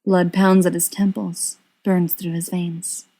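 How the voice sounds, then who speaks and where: A woman's voice: on the low side, flat delivery, slow pace, warm tone. A woman reading a scripted fiction story aloud as an audiobook narrator. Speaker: audiobook reader.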